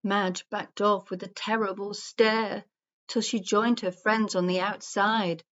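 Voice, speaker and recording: Lady reading a kids rhyming story, sing-song n unhurried, bit dull lo-fi recording. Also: medium pitch, fairly even intonation, a bit bright. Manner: deliberate storybook delivery with sing-song rhyme. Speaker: story reader. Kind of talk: read-aloud children's rhyming story narration. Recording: dull, muffled lo-fi sound.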